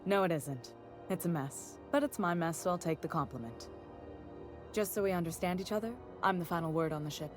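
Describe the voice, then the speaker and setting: A confident female space station chief from a video game, acted by a woman, with a lowish voice. She is plainspoken and firm yet cordial, with faint music underneath. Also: a little fast, close to an everyday voice. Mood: firm, proud. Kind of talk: video game character acting.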